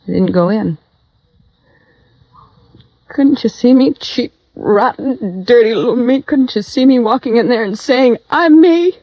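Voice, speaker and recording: A woman's voice: a little high, a little slow, long pauses, dry recording. An actress playing a guarded, world-weary widow, down on her luck and defiant, in a 1950s radio noir drama. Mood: self-loathing, anguished. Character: bitter, tough, down-and-out young widow. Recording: dull, dry vintage radio recording.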